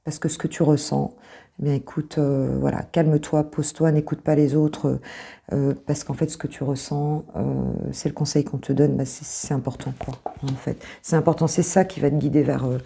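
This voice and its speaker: Low husky-voiced French woman reading cards. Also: little pitch movement, moderate pace. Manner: hesitant, many fillers, soft reassuring asides. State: slightly hoarse. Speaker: intuitive coach reading cards. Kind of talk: casual card reading to viewers.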